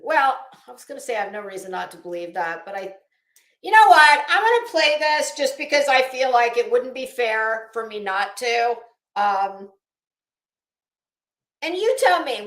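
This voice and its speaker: A woman's voice: fairly high pitch, fairly bright tone. A woman hosting an online show with casual commentary, blunt, sarcastic and given to swearing. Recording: echoey room.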